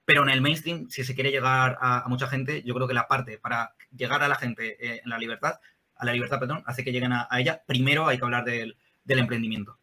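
A man answers interview questions at length. He explains step by step, filling pauses with "eh". His tone is bright and forward at a middling pitch. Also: fairly even intonation. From Spain. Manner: explanatory, many "eh" fillers. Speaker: guest, think-tank worker.

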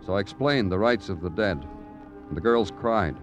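An actor playing a wry, world-weary police detective in an old radio drama, speaking in a clipped, deadpan way. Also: male voice, on the low side, normal speed, highs cut off, soft background music, natural voice. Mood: wry, sardonic. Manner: clipped, deadpan, hard-boiled. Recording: vintage radio recording with crowd sounds.

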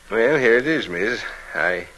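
An actor playing an affable police inspector in an old-time radio thriller, probing in a casual, unhurried way. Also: male voice, medium pitch, bright, forceful tone, full character voice. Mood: polite.

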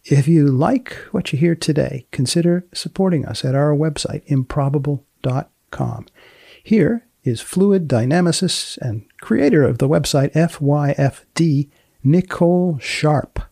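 A man hosting a podcast, narrating in a deliberate, deadpan way with phrases repeated for emphasis. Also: a little high, creaky, slightly rough, dry recording, clean studio sound. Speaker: podcast host. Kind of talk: scripted humorous narration of research studies.

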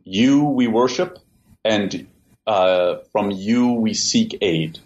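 Measured low-voiced man, lo-fi interview. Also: darkish tone. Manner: measured, restarts words often. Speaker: interview guest, translator. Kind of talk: thoughtful interview answers. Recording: dull lo-fi sound, faint hiss.

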